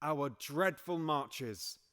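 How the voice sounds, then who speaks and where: An actor playing a royal duke giving a grand, declamatory oration in a Shakespearean audio drama. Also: male voice, on the high side, fairly bright tone, clean tone. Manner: declamatory, deliberate. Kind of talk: classical Shakespearean audio theatre.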